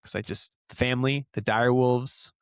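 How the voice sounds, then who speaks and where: A man with an average-pitched voice talks as a co-host in a casual book chat. He is informal and opinionated, using plenty of slang. The recording is dry, with little sense of the room. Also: steady tone, normal speed, clear, resonant tone, no room sound. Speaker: podcast co-host.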